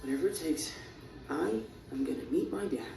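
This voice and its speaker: An earnest, nervous teenage boy in an animated fantasy. The high, strained voice jumps around in pitch and sits back in a roomy mix. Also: a little fast, gravelly, recorded from a distance, faint music behind. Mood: determined. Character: anxious, earnest sixteen-year-old boy. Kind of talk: animated film acting.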